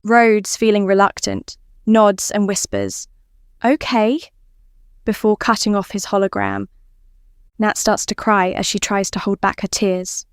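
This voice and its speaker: Female story narrator for fan fiction, clear and smooth, mid-pitched, dry close sound. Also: animated, a little slow, no echo.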